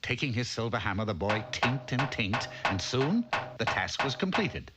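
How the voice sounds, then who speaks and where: A man's voice: mid-range voice, big pitch swings, moderate pace, over loud music. A man narrating a comic fairy tale in a theatrical storybook style.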